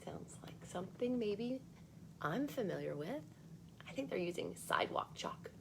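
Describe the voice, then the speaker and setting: A woman hosts a children's storytime in a medium-pitched voice. She reads expressively and adds chatty asides aimed at young listeners. Ambient noise is clearly audible behind her. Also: sing-song, moderate pace. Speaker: storytime host reading a picture book aloud.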